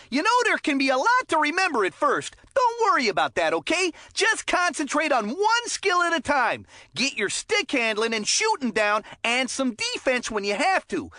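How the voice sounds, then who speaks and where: High, chummy hockey commentator. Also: female voice, sharp tone, dry recording, studio quality, heavily acted. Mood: chummy, encouraging. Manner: folksy, chummy, slangy and encouraging. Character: chummy, upbeat, folksy kids' hockey commentator. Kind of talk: video game sports commentary voicelines.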